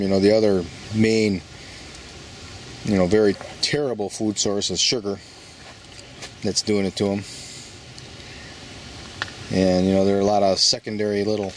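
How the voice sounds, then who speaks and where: A man with a fairly low voice chats his way through his backyard garden, rambling in a folksy tone as he thinks aloud. He speaks into a handheld phone outdoors, with faint background noise behind him. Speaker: home gardener. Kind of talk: casual garden walkthrough. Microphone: handheld phone camera.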